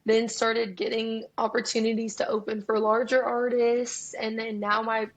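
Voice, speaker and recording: A young woman in her twenties with a raspy voice, chatting candidly and openly as a guest musician in an interview, often breaking into laughter. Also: on the high side, level tone, normal speed, low-quality recording. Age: 20s. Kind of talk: casual interview chat. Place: Nashville.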